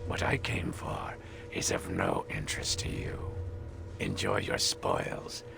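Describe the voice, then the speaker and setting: Very deep, gravelly, vengeful old man. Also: big pitch swings, slow, exaggerated voice. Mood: gruff, dismissive. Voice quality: gravelly, creaky. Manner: sneering, snarling, theatrical. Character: bitter, vengeful centuries-old man, contemptuous and foul-mouthed. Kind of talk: video game character acting. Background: game music.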